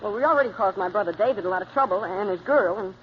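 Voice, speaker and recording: A man's voice: high voice, animated, fast. A male actor playing an eager, nervous teenage jockey, earnest and naive, in 1940s radio acting. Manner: earnest, naive, plain-spoken. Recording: muffled, hissy old radio recording.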